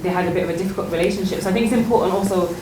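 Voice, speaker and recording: Halting low-voiced woman in echoey room. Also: steady tone, darkish tone. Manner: halting, repeats words while thinking. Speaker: panel speaker. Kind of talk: informal panel discussion. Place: indoor public exhibition discussion. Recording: roomy live event, background noise.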